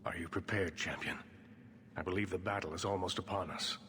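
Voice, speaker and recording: An actor playing a formal, grave male mage leader in a video game, speaking in a measured way. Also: low voice, fairly even intonation, sharp tone, in a large hall, a little hiss or hum. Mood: grave, resolute. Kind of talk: video game cutscene acting.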